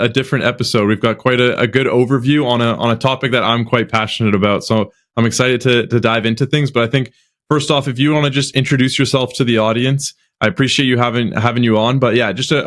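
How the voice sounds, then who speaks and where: Man, podcast host, mid-pitched voice, casual, stop-start phrasing. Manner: halting, repeats words as he talks.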